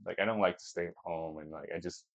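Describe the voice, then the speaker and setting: A man's voice: low-pitched, fairly even intonation, quick pace, gravelly, dry recording, dull audio. A man in his twenties, born in Peru, whose first language is Chinese, answering interview questions casually with many restarts and fillers. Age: 20s. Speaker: podcast guest.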